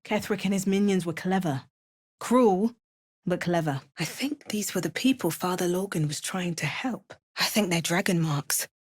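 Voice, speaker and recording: A woman playing a wry, watchful adventurer in a fantasy video game, speaking in short, measured, dramatic lines. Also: lowish voice, breathy edge, no room sound, clean studio sound. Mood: observant, serious. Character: adult female adventurer hero, wry and watchful. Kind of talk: scripted game dialogue barks, short remarks and spell incantations.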